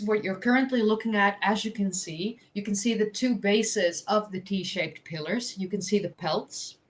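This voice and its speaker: A woman from North Holland, co-hosting a casual live history chat with quick, filler-heavy, self-correcting talk. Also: mid-range voice. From Netherlands, North Holland. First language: Dutch. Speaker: co-host, history presenter. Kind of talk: casual live-stream chat about archaeology.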